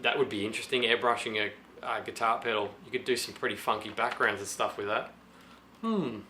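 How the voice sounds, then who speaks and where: A slightly hoarse man with a sore throat, casually thinking aloud through a do-it-yourself how-to demonstration with many restarts. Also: lowish voice, brisk, pushed and bright, a little hiss or hum. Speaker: DIY guitar pedal vlogger.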